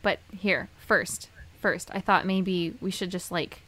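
A woman's voice: on the low side, slow pace, fairly bright tone. A woman hosting a casual podcast, chatty and rambling, often correcting herself mid-sentence. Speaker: podcast host.

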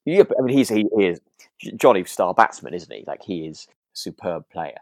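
A man's voice: low-pitched, expressive, a little fast, a little mellow, dry recording. A man co-hosting a podcast, chatting casually about a radio drama and circling back over his words as he thinks. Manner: hesitant, repeats himself as he thinks. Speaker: podcast co-host.

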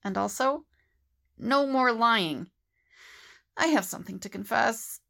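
A woman's voice: fairly high pitch, takes it slowly, creaky, a bit bright, full character voice. A woman playing an earnest, level-headed team leader in an audio drama. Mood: disappointed.

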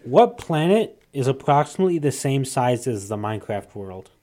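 Guy on a podcast, co-host, medium pitch, just chatting. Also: big pitch swings, normal speed, dark tone. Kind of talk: casual chat.

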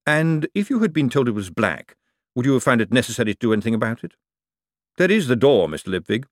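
Audiobook role: ruling lord male narrator acting, measured, formal, quietly threatening, drily ironic, close dry sound. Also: mid-range voice, big pitch swings, no echo, lightly acted. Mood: smooth, dry. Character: urbane, calmly menacing ruling lord, dry and precise.

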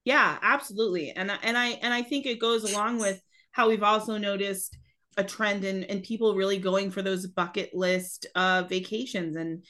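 A woman in her thirties co-hosting a podcast in casual chat, her voice a little raspy from a cold, talking loosely with many restarts. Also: mid-range voice, steady tone, moderate pace, a bit bright. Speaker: podcast co-host.

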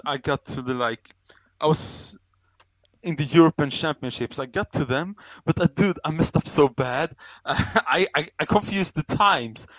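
A man's voice: a little high, fairly bright tone, no room sound, poor audio. A young adult man from Sweden, co-hosting a casual podcast chat, telling rambling stories about himself with plenty of laughs and self-mockery. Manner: rambling storyteller, self-mocking, laughs often. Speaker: podcast co-host.

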